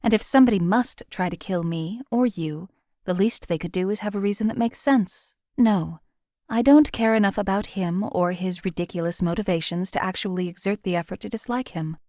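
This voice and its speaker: A woman's voice: medium pitch, a little fast, warm tone, no echo, natural voice. A sardonic female assassin who was once a slave, as a woman voices her, cold and precise. She cuts people down in a dry, condescending way. The studio sound is dead dry and close. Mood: irritated. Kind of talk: game companion voice lines.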